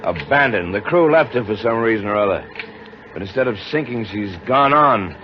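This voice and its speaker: An actor playing a blunt chief lighthouse keeper with a curt, commanding manner, in a 1950s radio drama. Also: male voice, fairly low pitch, animated, normal speed, lightly acted. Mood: matter-of-fact. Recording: dull, hissy old radio broadcast.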